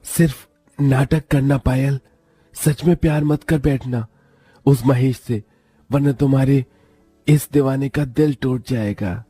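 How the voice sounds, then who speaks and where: A man playing a smitten, conniving young secret lover in a moral story, with a husky voice. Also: medium pitch, fairly even intonation, measured pace, dark tone, no echo, faint music behind. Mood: sly, romantic.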